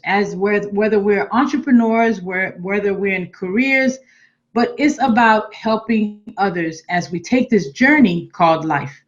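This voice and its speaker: Middle-aged woman, host on a video call; encouraging, restarts often; mid pitch, dull lo-fi audio. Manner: warm and encouraging, with stumbling restarts. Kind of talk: casual interview hosting.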